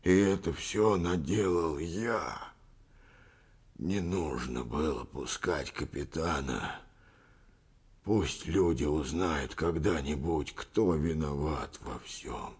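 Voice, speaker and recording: A man voicing a frightened, guilt-ridden old father in an audiobook, with a hoarse, trembling, muttering delivery. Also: sits low, lively intonation, measured pace, exaggerated voice. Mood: guilt-stricken. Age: older. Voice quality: husky, hoarse, whispering at times.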